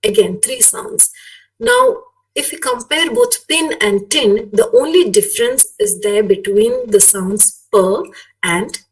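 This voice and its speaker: A woman's voice: fairly high pitch, animated, slow. A woman with a Malayali Indian English accent, a native Malayalam speaker, giving a conversational, explanatory webinar lecture and often checking for agreement. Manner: conversational, frequently seeks agreement with tag questions. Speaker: academic guest lecturer. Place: online webinar. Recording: distant microphone, online webinar audio.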